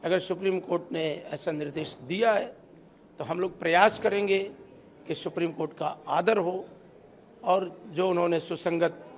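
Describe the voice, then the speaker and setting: A male politician explaining his view in an interview, in deliberate short phrases. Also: high-pitched, slight background noise.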